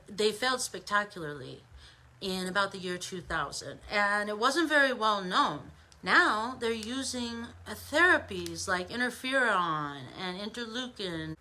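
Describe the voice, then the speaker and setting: A woman's voice: medium pitch, bright, forceful tone, rough recording. An American woman giving an informal opinion monologue as an online commentator, emphatic and conversational, with rhetorical asides.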